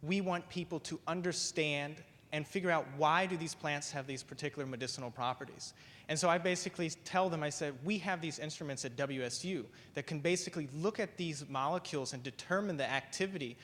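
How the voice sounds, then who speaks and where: A man with a slightly high voice talks about his research in a measured way, leaning on "basically". The sound carries the long reverb of a large hall. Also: a bit bright. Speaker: graduate researcher speaker. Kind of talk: prepared talk, then onstage answer.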